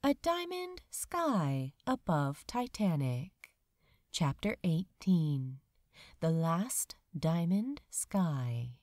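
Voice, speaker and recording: A woman reading fan fiction aloud as a narrator, with measured, expressive storytelling delivery. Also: on the low side, slight background noise. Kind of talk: scripted audiobook-style narration.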